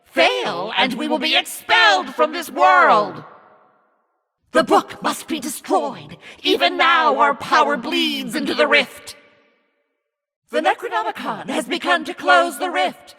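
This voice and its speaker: A man voicing a menacing, scornful demonic narrator in a video game, commanding and mocking in a theatrical, raspy delivery. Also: very high-pitched, animated, measured pace, sharp tone, exaggerated voice. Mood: urgent, dire. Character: demonic evil narrator, menacing, scornful, imperious servant of darkness. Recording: long reverb over music.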